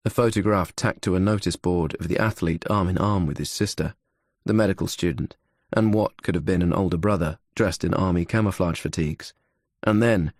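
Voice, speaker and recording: A man's voice: low voice, a little mellow, no room sound, clean studio sound. A man reading scripted thriller narration as an audiobook narrator.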